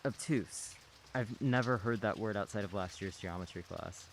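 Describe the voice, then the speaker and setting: A young man playing a relaxed, friendly teenage boy neighbor in a radio play. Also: fairly low pitch, normal speed, gravelly, a little hiss or hum. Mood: easygoing. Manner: relaxed, friendly, casual slang.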